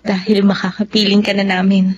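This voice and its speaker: A woman's voice: medium pitch, completely flat delivery, a little fast, darkish tone, breathy edge, dry recording, lightly acted. An actress with a Filipino accent playing a devoted, hopeful young woman in a radio drama. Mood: earnest, hopeful. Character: devoted, hopeful young expectant mother in unrequited love. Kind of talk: Tagalog radio drama acting. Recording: dull, lo-fi radio sound.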